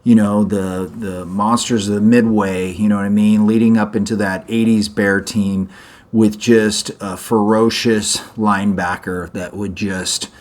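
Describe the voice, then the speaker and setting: A middle-aged man with a fairly low voice co-hosts a laid-back sports chat. He rambles through his points and teases his partner playfully. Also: flat delivery, measured pace, darkish tone. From Croatian family, raised in Canada. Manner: joking, rambling, playful teasing. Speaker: co-host.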